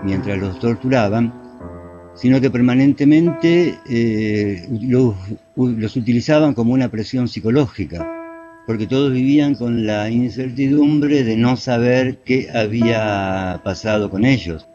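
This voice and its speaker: Halting Argentine man, dull recording over guitar. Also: mid-range voice, dark tone. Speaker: survivor witness. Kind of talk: formal testimony. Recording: dull lo-fi recording, music underneath. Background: plucked guitar music.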